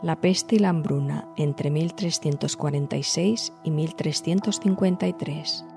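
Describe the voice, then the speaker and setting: A woman hosting and narrating a scripted storytelling podcast, addressing listeners directly in measured phrasing. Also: low-pitched, vocal fry, mellow. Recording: music behind the voice. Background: background music.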